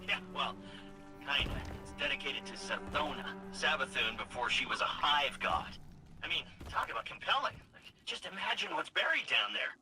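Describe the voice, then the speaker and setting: Man as an excitable, lively game informant. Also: mid-range voice, normal speed, music in the background, close to an everyday voice, poor audio. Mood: excited, eager. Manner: excitable, hesitant, casual. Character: eager, jittery informant pitching his hunches. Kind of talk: video game character dialogue.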